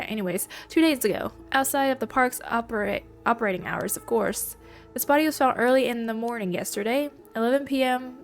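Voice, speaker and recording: A woman playing a game, reading its dialogue aloud and commenting playfully in between, her voice stuffy from allergies. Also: on the high side. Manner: reads lines and then comments playfully. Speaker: let's play gamer.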